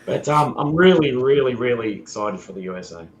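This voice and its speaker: Croaky-voiced Australian man, muffled and roomy. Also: mid-range voice, animated, fairly quick, a little hiss or hum. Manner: easygoing, hesitant with many ums. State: sore throat, croaky voice. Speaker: podcast guest. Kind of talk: casual podcast chat.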